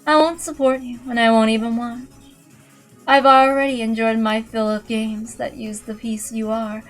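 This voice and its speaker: A woman voicing a cruelly composed, imperious witch in a measured, condescending way for a visual novel reading. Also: on the high side, flat delivery, faint music behind, lightly acted. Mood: cold, condescending.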